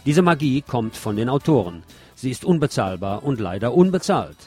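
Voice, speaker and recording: A man's voice: medium pitch, big pitch swings, normal speed, darkish tone, slightly rough. Man hosting a radio magazine over music. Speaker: radio host. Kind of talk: scripted radio magazine narration with personal asides.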